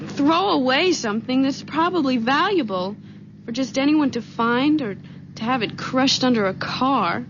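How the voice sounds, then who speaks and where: An actress playing a kindly, earnest young woman who is gentle but stubborn, in a radio drama on a muffled, noisy old recording. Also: high voice. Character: kindly, earnest, conciliatory young wife or girlfriend. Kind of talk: vintage-style radio drama acting.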